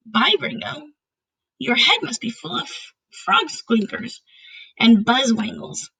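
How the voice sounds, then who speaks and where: Woman putting on an excitable giant with muddled grammar, gravelly. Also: a little high, full character voice, poor audio. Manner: emphatic, mangled grammar and nonsense words. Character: excitable, indignant male giant with muddled words.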